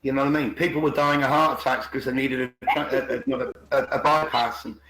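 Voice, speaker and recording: A man's voice: mid-range voice, fairly even intonation, normal speed, sharp tone. A man in an unscripted, opinionated discussion on an online call, repeating words and restarting often. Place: indoors on an online group call. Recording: roomy, echoing online call.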